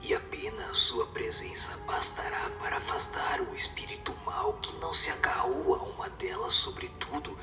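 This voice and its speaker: A man voicing a stern superior spirit in a breathy whisper, giving solemn, severe moral counsel in an audio drama. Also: medium pitch, roomy sound. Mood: solemn admonishing. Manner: solemn, admonishing. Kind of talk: Portuguese-language audio drama of a spiritist book.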